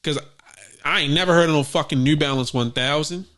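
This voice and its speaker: A man co-hosting a casual sneaker podcast, talking in a profane, animated way that jumps up loud when he jokes. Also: fairly high pitch, fast talker. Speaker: podcast co-host. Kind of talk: casual chat.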